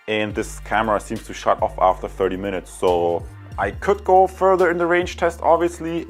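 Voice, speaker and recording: A man giving an informal product review, chatty and explanatory, tending to ramble as he goes. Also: lowish voice. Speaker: product reviewer, channel host.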